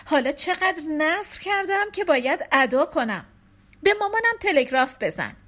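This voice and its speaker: A woman's voice: high-pitched, very animated, a bit bright, voice pushed up. A woman voicing a distraught young wife grieving her stillborn baby, pleading and tearful. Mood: joyful, excited. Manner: pleading, tearful, rising to cries.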